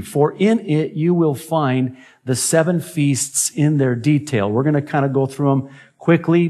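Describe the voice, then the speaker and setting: A man in his forties, a native Arabic speaker, preaching a sermon from a church pulpit into a gooseneck mic with measured, emphatic phrasing. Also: a little high, darkish tone. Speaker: pastor.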